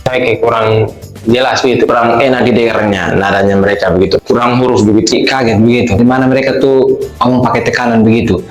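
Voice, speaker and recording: A young man from Alor talks at a middle pitch in a measured way. He sounds slightly puzzled. His voice echoes in the room, with faint music under it. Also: fairly even intonation. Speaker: student interviewee. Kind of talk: casual testimonial.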